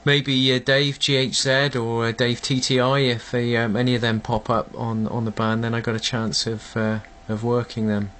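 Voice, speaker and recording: A man's voice: medium pitch, flat delivery, moderate pace, hardly any pauses, a bit bright. Hesitant man with faint background noise. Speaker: amateur radio operator demonstrating a contact. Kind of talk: casual radio chat and demonstration.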